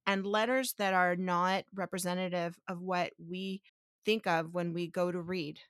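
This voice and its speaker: A woman's voice: on the low side, fairly even intonation, unhurried, a bit bright, clean tone, no room sound, clean studio sound. A woman hosting a casual podcast, thinking aloud with restarts and rhetorical questions, a native Spanish speaker. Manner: explains step by step to her co-host. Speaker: podcast host.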